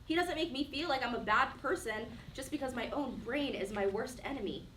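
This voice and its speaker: A woman's voice: fairly high pitch, a little fast, a bit bright, lightly acted. Woman acting a wounded young woman, defiant, earnest stage drama, roomy distant recording. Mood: hurt, insistent. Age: young adult. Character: defiant, wounded 23-year-old woman, defensive and yearning. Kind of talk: one-act play dialogue. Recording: roomy echo, distant mic, faint noise.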